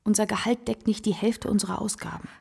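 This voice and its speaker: An actress playing a worldly, self-possessed kept woman of the theatre in a radio play, courteous and theatrical, with a husky, gravelly voice. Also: mid-range voice, steady tone, quick pace, darkish tone. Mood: contrite, courteous. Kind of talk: German radio play acting.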